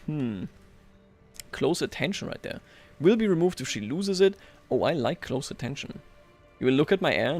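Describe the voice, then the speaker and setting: Higher-pitched man streaming games, music behind. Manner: chatty, thinking aloud, wry and playful. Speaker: livestream host. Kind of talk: casual live commentary over a strategy game.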